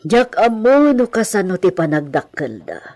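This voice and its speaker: A woman playing a stern, worried elderly mother of a hacienda family in an Ilocano radio drama, speaking deliberately with dramatic pauses. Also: medium pitch, very animated, a little mellow, lightly acted. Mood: worried, insistent.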